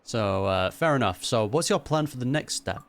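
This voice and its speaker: A man's voice: mid-range voice, animated, normal speed, vocal fry. A man giving casual, laid-back reaction commentary as he plays through a video game. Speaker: gaming reaction streamer.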